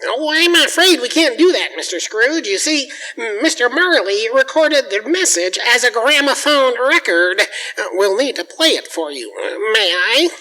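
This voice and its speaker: A man playing a fussy, polite gentleman lawyer in a radio drama, hesitant and stammering with very formal speech. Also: very high-pitched, very animated, moderate pace, exaggerated voice, rough recording. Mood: apologetic, formal.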